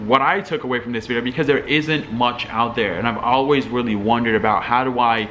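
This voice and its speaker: A man's voice: medium pitch, a little fast, fairly bright tone, a little hiss or hum, low-quality recording. A young man from Algeria, an entrepreneur vlogger, talking casually and rambling with many fillers and restarts. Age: young adult. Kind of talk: casual talking-head commentary.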